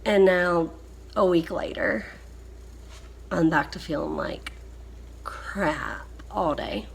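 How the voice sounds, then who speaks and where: A young woman vlogging casually, hoarse and coughing, weary and frustrated. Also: fairly low pitch, big pitch swings. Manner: tired, frustrated, drawn-out speech. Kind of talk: casual vlog chat.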